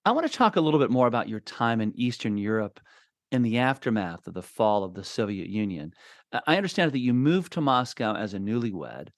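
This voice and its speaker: A male interviewer asking prepared, clearly phrased questions. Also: on the low side, big pitch swings, a little fast, no echo, clean studio sound.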